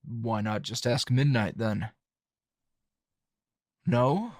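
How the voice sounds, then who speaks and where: A man playing a calm, dry, teasing hero and coworker in an intimate ASMR roleplay, talking in a low-key, halting, conversational way. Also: dry recording.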